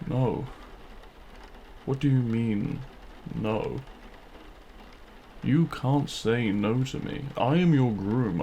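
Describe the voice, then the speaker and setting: Man playing a slow, gravelly, possessive demon king. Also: medium pitch, a little mellow, slight background noise. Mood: angry, commanding. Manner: theatrical, silky, menacing yet courtly. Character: possessive, smug demon king wooing a kidnapped royal. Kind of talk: scripted audio roleplay, addressed to the listener.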